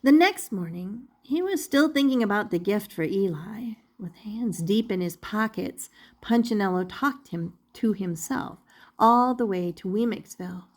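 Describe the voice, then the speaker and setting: An older woman reading a children's picture book aloud expressively, deliberately drawing out key words as a storyteller. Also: mid-range voice, animated.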